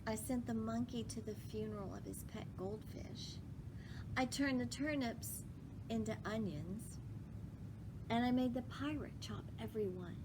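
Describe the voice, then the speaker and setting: Woman, high-pitched voice, slow pace, expressive children's read-aloud, rumbly background noise. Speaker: storyteller reading a picture book aloud. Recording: clearly noisy with low rumble.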